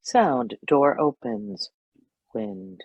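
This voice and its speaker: A woman's voice: very deep voice, sing-song, extremely slow, gravelly, a little mellow, no room sound. A woman reading out sound effect cues in a plain style over a slightly muffled video call. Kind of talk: flatly read-out sound cues.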